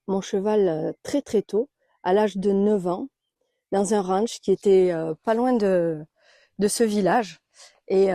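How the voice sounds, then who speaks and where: A woman born in Belgium and raised in southern France tells her life story in a hesitant way, pausing often with fillers and growing soft and quiet. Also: medium pitch, no room sound, studio quality. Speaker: naturopath interviewed about her life. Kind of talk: reflective personal storytelling.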